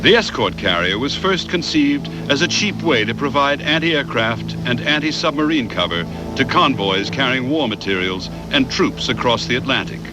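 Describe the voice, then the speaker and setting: A man reads scripted documentary narration in a measured, factual way. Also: medium pitch, expressive, music in the background, poor audio.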